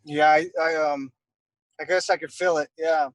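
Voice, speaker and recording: A young man from Costa Rica chats easily as an interview guest, rambling with many fillers. His voice sits a little high for a man. The sound is dry and close. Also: little pitch movement, normal speed, no room sound, rough recording. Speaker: guest, airbrush artist. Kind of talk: casual interview chat.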